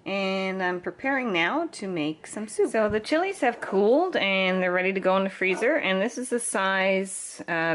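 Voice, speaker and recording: A woman's voice: low voice, slight background noise. A middle-aged woman chatting casually through a homesteading kitchen vlog, plainly explaining each step while filming in her home kitchen on a handheld camera. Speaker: homesteading vlogger.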